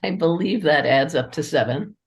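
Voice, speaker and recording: A woman's voice: sits low, animated, normal speed. A woman speaking conversationally as an interviewed writer, enthusiastic and appreciative in her manner. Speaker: interviewed playwright and editor.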